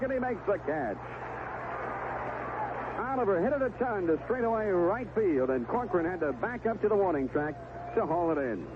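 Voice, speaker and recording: A man's voice: sits high, narrow, tinny audio, background noise. An American man calling baseball play-by-play in a live radio commentary style, on an old 1970s broadcast. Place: stadium broadcast position.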